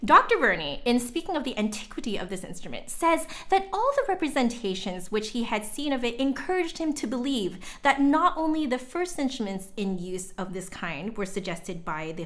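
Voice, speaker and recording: A woman with a fairly high voice hosts a livestream reading. Her intonation swings widely as she moves between dramatic recitation of an old text and casual remarks. She talks to her viewers informally, often stepping out of the text to comment. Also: fairly bright tone. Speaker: livestream host and reader.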